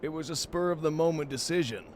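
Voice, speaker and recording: A man playing a calm, matter-of-fact medic in an audio drama, with measured, formal phrasing. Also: a little high, slight background noise, close to an everyday voice. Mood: unbothered, defensive.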